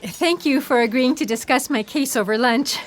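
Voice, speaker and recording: A nervous young female scientist with a high voice, played by an actress in a sci-fi audio drama. She doubts herself and speaks in a hesitant, self-conscious way. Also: moderate pace, close to an everyday voice. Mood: nervous, eager. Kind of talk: sci-fi audio theatre acting.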